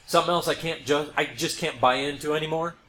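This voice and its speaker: A man's voice: on the high side, steady tone, fast, sharp tone. An American man co-hosting a casual podcast, talking informally and emphatically about his opinions. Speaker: podcast co-host. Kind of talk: casual conversational podcast chat. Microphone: podcast microphone. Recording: noisy background in podcast recording.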